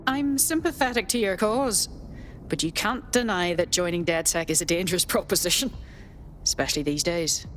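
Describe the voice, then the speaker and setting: A woman's voice: lowish voice, normal speed, fairly bright tone, a little hiss or hum, close to an everyday voice. A woman playing a cautious new resistance recruit in a video game, in an everyday voice. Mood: wary. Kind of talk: scripted video game voice acting.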